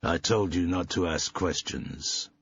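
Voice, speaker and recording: Man playing a hulking slow tough guy, slight American twang, staccato rehearsed lines, very slow, husky low voice, muffled sound. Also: a bit bright, dry recording, full character voice. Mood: flat menace. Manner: slight American twang, borrowed from action movies.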